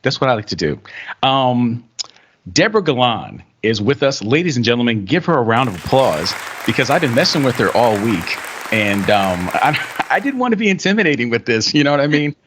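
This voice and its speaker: A man's voice: medium pitch, big pitch swings, brisk, a bit bright, some chatter behind. A man hosting an interview show who chats jovially and laughs often as he welcomes his guest. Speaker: podcast host. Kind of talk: casual interview chat. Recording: dull lo-fi recording.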